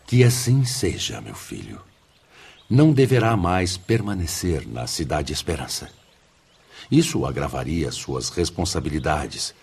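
Deep-voiced, fatherly spirit mentor. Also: very animated, vocal fry, darkish tone, breathy edge, exaggerated voice. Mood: solemn encouragement. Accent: Brazilian. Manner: solemn, measured, paternal, formal. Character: grave fatherly spirit director, calm wise mentor. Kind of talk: Brazilian religious radio drama acting. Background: music.

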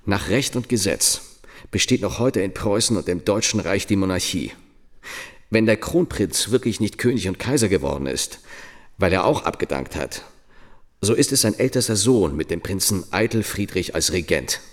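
An actor playing a grave, indignant monarchist reading an article aloud in a measured, declamatory radio drama style. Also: male voice, deep voice, lively intonation. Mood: solemn, accusing.

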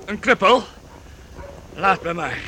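A man's voice: high-pitched, sing-song, slow, noisy background, exaggerated voice. An actor playing a plucky, loyal boy in a youth radio play, stouthearted and reassuring. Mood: determined.

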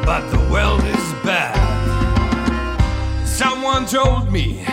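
A woman's voice: mid-range voice, very animated, extremely slow. A woman singing a country-style song with guitar. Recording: loud country guitar backing.

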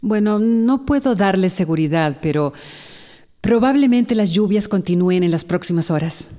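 A woman with a Costa Rican accent playing an emergency committee doctor giving calm, measured advice in a radio drama. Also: medium pitch, long reverb tail, close to an everyday voice.

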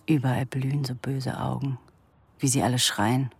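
An actress playing a brooding, self-possessed woman in a radio drama, musing bitterly in an intimate, breathy murmur. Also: extremely low pitch, little pitch movement, moderate pace, noisy background. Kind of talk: radio drama inner monologue.

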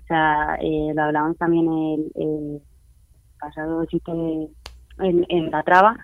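A man's voice: fairly high pitch, level tone, takes it slowly, dry recording. A young man from Alcorcón with a Spanish accent, talking earnestly as a radio guest, with many fillers and restarts. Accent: Spain Spanish. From Alcorcón, Spain. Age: young adult. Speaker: guest, member of a youth collective. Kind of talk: casual radio chat. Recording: tinny phone line.

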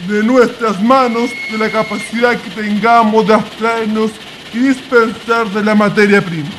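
A man's voice: high-pitched, steady tone, unhurried, background noise. A Chilean man acting an earnest young intellectual adventurer in a radio drama. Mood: earnest, resolved.

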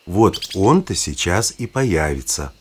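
A man playing a knowledgeable nature guide, narrating a children's audio play in a measured, expressive, explanatory way. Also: low voice, a little hiss or hum, heavily acted. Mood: inviting storytelling. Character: knowledgeable guide showing children forest animals. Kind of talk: scripted storytelling narration for children.

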